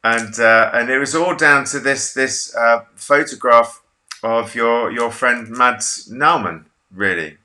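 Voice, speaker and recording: A man's voice: mid-range voice, slow, pushed and bright. A man in his thirties hosting a casual video-call interview from a home office, chatty, jokey and self-deprecating. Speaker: interview host.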